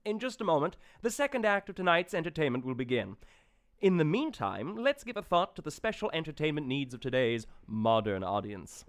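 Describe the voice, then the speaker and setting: Man as a lively old-time radio announcer. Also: fairly high pitch, brisk. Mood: formal, genial. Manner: formal, presentational. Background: music.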